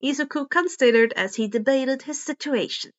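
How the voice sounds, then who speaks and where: A woman reads fan fiction aloud as expressive narration, now and then stumbling over a word. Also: high voice, moderate pace, dull audio. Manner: occasional stumbles over words. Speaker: podfic reader.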